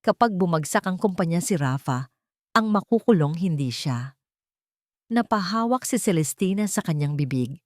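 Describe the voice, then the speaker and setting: A woman's voice: on the low side, expressive, normal speed, no echo, clean studio sound. A woman narrator reading a dramatic romance story in a scripted storytelling style.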